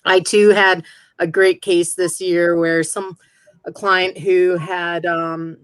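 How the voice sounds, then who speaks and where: A woman with a lowish voice who speaks in a measured, self-deprecating way. Her audio is dry and close, with no room sound, as she talks over a video call. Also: level tone, smooth, dry recording. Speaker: game show contestant, immigration attorney. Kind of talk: casual self-introduction.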